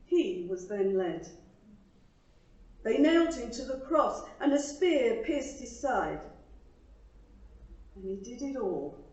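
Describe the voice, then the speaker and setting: A woman reading her own rhymed devotional poem to a church congregation, with earnest, emphatic phrasing and soft falling line endings. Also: high voice. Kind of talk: rhymed devotional poetry recital. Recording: roomy, distant, slightly dull microphone pickup.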